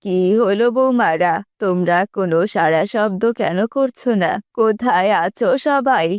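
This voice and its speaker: A woman voicing a bossy elderly mother-in-law who scolds and commands with drawn-out words in a family cartoon. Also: medium pitch, no room sound, clean studio sound, natural voice. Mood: scolding, exasperated. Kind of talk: Bengali family cartoon voice acting.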